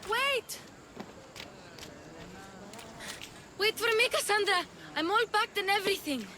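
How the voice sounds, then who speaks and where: A plucky little girl in a game cutscene. The voice is high, earnest and pleading, stubborn but affectionate, with faint ambience behind it. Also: expressive, a little fast, sharp tone, slight background noise, exaggerated voice. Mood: eager, pleading. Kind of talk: video game cutscene acting.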